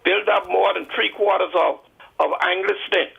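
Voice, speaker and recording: Radio caller, heavy gravel, husky, insistent, muffled phone line. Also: mid-range voice, steady tone, normal speed. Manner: insistent, boastful. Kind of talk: phoned-in boast about home island. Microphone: telephone.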